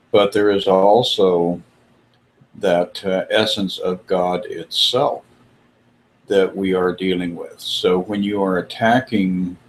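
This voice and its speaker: A man hosting a spiritual discussion, talking in a slow, musing way with frequent pauses. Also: low voice, slight background noise, rough recording.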